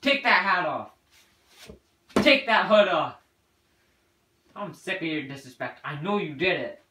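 A man's voice: on the high side, animated, measured pace, roomy sound, background noise. A man playing a bossy, blustering police officer in an amateur crime skit, with a theatrical, commanding delivery. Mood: menacing.

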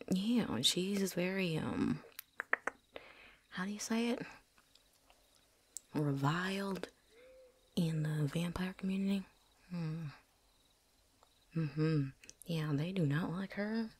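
A woman's voice: on the low side, slightly rough. A woman playing a chatty, cocky, seasoned vampire hunter in a whispered ASMR roleplay, rambling folksily. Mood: sly, confiding. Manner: folksy, rambling, with drawn-out asides.

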